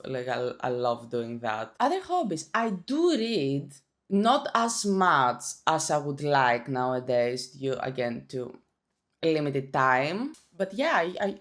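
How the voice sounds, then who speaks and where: A young woman with a Greek accent answering viewer questions in a casual, chatty vlog, often restarting her sentences. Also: low-pitched. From Greece. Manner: chatty, self-conscious, with frequent restarts and fillers. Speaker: YouTuber. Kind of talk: casual Q&A chat.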